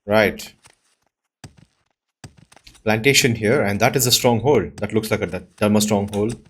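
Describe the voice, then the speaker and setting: A man giving casual let's-play commentary in a husky voice, musing aloud and reading names off the screen. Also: on the low side, a little slow, a bit bright. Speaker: video game player and host. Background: plucked-string game music.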